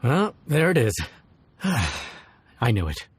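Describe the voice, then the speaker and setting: A man's voice: medium pitch, wildly swooping pitch, slow pace, husky, full character voice. A man voicing a plain-spoken, good-natured inn chef in a video game, with an easygoing, folksy manner. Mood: resigned. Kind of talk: game voice acting.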